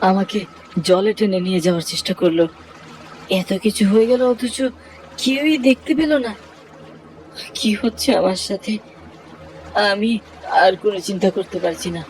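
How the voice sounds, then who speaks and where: A man's voice: high voice, a little hiss or hum, rough recording. A man voicing a frightened young man in a horror cartoon, telling his tale in a dramatic, bewildered way. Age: young adult. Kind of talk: Bengali horror cartoon narration.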